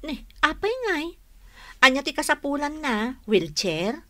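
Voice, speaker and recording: A woman's voice: sits high, very animated, measured pace, a bit bright, exaggerated voice. An actress with an Ilocano accent playing a bossy, caring wife and mother in a radio comedy drama. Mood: kind, attentive. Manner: bossy, nagging, practical.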